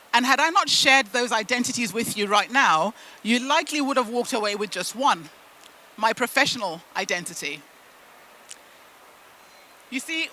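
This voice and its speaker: A woman from the UK and Zimbabwe, a native Shona speaker, giving a motivational storytelling talk with deliberate phrasing and emphatic pauses. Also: a little high, sharp tone, slight background noise. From United Kingdom and Zimbabwe. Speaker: keynote speaker at a business event.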